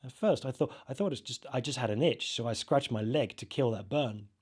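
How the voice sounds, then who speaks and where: Man narrating a scary story, medium pitch. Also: husky. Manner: expressive, halting, acts out reactions. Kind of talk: dramatised first-person scary storytelling.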